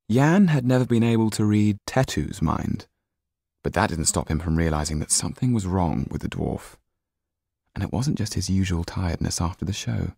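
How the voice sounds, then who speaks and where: A man narrating a novel as an audiobook in expressive, measured storytelling. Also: sits low, vocal fry, breathy edge, dry recording, studio quality.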